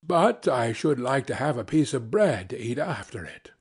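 A man's voice: mid-range voice, big pitch swings, normal speed, breathy edge, heavily acted. A man voicing a friendly, hungry male dog in a storybook, with a plain, easygoing manner. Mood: content, hungry.

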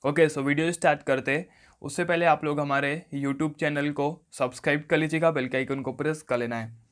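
A man's voice: on the high side, steady tone, a little fast, a bit bright. A man giving an instructional phone tutorial voice-over, often repeating stock phrases. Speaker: tech tutorial host.

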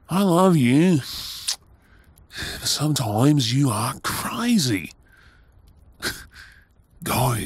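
An Australian man playing a tender, doting young husband in an intimate ASMR audio roleplay, with a soft, breathy voice. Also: mid-range voice, no echo, heavily acted. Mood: fond.

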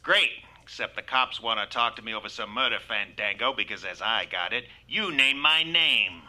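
Man as sharp, tough prizefighter. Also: on the low side, normal speed. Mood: menacing. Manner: slangy, blunt, threatening. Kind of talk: radio detective drama acting.

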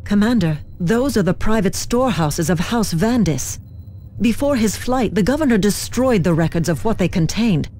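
A woman's voice: medium pitch, expressive, moderate pace, a little hiss or hum, close to an everyday voice. A woman playing a composed, authoritative official in a sci-fi video game, speaking formally and with measure. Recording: loud music and battle sounds behind.

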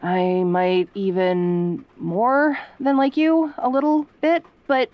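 A woman acts out a tsundere tomboy in an audio roleplay. She is sassy and easily flustered, talking casually and defensively, with sarcasm that covers her softer side. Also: medium pitch, flat delivery, takes it slowly, dry recording, dull audio, close to an everyday voice. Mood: bashful. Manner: casual, defensive, sarcastic, hesitant when sentimental.

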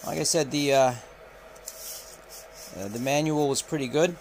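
A man walking through a radio unboxing, talking unhurriedly with frequent filler pauses, recorded indoors at a table on the camera's mic. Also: on the high side, darkish tone, a little hiss or hum. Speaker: radio reviewer. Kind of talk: unboxing walkthrough.

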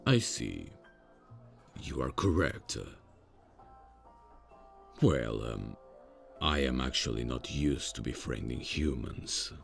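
An extremely deep-voiced male demon, acted by a man, in a fantasy audio roleplay. He talks very slowly and stiffly, deadpan and awkward yet menacing, with a gravelly creak in the voice. Music plays faintly behind him. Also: heavily acted. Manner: slow, stilted, halting, deadpan.

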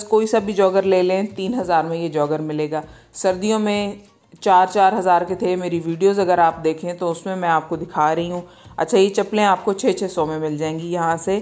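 A woman's voice: fairly low pitch, a little mellow. A woman with a Pakistani accent narrating a shopping guide in a casual, conversational way, often checking in with the listener. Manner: conversational, repeatedly checks in with "okay". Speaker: market vlogger.

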